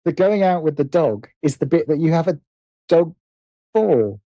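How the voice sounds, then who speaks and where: A man with a soft, mellow voice talks as a guest in a relaxed interview. He works through his thoughts animatedly, stopping and restarting phrases. The sound is dry, with little room around it. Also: fairly high pitch, dry recording, highs cut off. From Britain. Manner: animated, halting, restarts and repeats phrases as he thinks aloud. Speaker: interview guest, writer and producer. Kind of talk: casual conversational interview.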